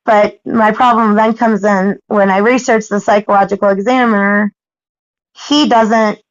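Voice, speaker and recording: An American woman pressing her point on a recorded phone call, insistent and halting, often repeating herself. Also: mid-range voice, fairly even intonation, no echo. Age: adult. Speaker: parent questioning a caseworker. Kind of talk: argumentative phone conversation.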